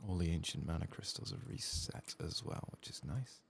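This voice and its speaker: A man speaking softly and whispering through an ASMR game playthrough, with a whispery, gravelly voice. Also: low-pitched, normal speed, a little hiss or hum. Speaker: gaming streamer and host. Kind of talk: soft-spoken ASMR game playthrough commentary.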